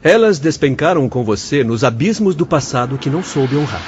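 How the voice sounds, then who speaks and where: A Brazilian actor playing a compassionate spirit doctor in a radio drama, counselling in solemn sermon tones with swelling emphasis. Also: male voice, mid-range voice, lively intonation, brisk, a little hiss or hum, close to an everyday voice. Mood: grave, compassionate. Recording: dull lo-fi audio over music.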